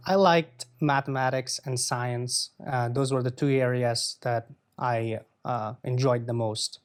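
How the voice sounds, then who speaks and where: A man in his twenties from Afghanistan, answering interview questions in an earnest, measured way with frequent pauses. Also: medium pitch, steady tone. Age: 20s. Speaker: guest.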